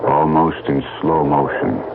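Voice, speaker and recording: Very deep, serious male announcer. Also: flat delivery, measured pace, vocal fry. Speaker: public service announcer. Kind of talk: sober 1970s radio safety message. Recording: muffled, very noisy old radio recording.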